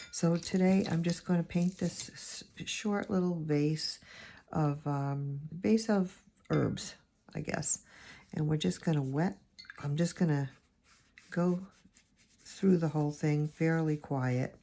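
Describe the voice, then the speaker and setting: A woman with a raspy, allergy-hoarse voice, chatting and rambling as she teaches a watercolor painting lesson, recorded on a phone camera. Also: sits low, takes it slowly, mellow. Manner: chatty, rambling, thinks aloud while painting. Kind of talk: casual painting tutorial.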